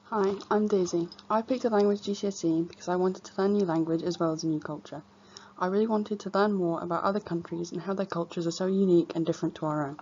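Brief: teen schoolgirl, low-ish voice, quick read, dull audio with faint hiss. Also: fairly even intonation, a little mellow. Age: teenager. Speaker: student. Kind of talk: reading a prepared statement.

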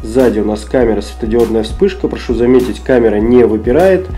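Slightly hoarse man unboxing a phone. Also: medium pitch, lively intonation, brisk, warm tone, soft background music. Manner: chatty, thinking aloud with frequent "uh" fillers. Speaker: tech reviewer. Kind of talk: casual unboxing commentary. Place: office desk indoors.

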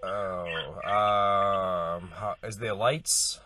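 A man's voice: deep voice, fairly even intonation, extremely slow. A man making a casual phone call as a persistent customer, recorded over the telephone. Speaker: prank caller posing as a customer. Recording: noisy telephone recording, clicks.

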